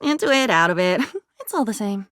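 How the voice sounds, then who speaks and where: A woman's voice: medium pitch, lively intonation, fairly quick, a bit bright, dry recording, studio quality, natural voice. An actress playing an unhinged, dreamy woman with a volatile temper who rambles disjointedly in a video game. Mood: manic musing. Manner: disjointed rambling, swinging between wistful and agitated. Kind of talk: video game character voice acting.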